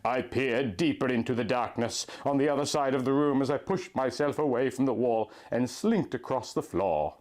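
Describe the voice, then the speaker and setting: A man's voice: mid-range voice, expressive, moderate pace, hardly any pauses, a little mellow. A man giving a theatrical, melodramatic reading of a first-person fan fiction story. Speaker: story reader, narrator.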